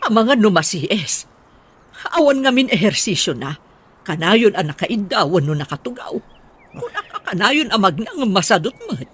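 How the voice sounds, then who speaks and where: A woman's voice: medium pitch, very animated, normal speed, fairly bright tone, breathy edge. A woman playing an exasperated middle-aged mother, nagging and complaining melodramatically in an Ilocano radio comedy-drama. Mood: worried, grumbling.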